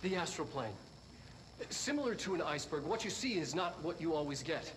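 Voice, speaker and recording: Game role: eccentric middle-aged male scientist, higher voice, halting lecture delivery, faint background noise. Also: sing-song, fairly bright tone, poor audio. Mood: earnest lecturing. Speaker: head of research. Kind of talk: in-world instructional video presentation.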